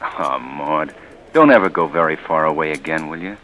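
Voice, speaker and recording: A man's voice: a bass voice, normal speed, a bit bright, slight background noise. A man in 1940s radio drama style, playing a doting husband with an unsettling edge, speaking with affectionate swoops and chuckles.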